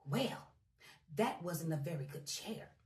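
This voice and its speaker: A woman's voice: medium pitch, very animated, slow, a little mellow, far from the mic. A woman voicing a fussy, grumpy little girl who grumbles and complains. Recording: roomy echo.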